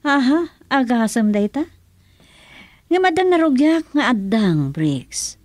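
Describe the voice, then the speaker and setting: Animated, bitter wronged wife. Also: female voice, high voice, slow, no echo, studio quality, heavily acted. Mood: bitter, determined. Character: resolute, bitter wronged wife seeking annulment. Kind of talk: Ilocano radio drama acting.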